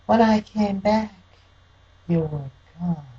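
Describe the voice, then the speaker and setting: A man voicing a coy, soft-spoken woman in a noir radio play, in a hushed, slow manner. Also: high-pitched, husky, lo-fi sound, pitched above the performer's own voice, full character voice.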